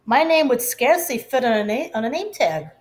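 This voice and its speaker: A woman voicing a kind, dreamy woman music teacher from a storybook, savouring her words deliberately. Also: fairly high pitch, lively intonation, natural voice. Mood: gently proud.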